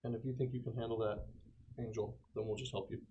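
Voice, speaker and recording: A man's voice: fairly low pitch, flat delivery, fast talker, muffled recording. Casual man at a gaming table. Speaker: tabletop game player. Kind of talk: in-character planning, casual. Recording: slightly noisy room with clicks.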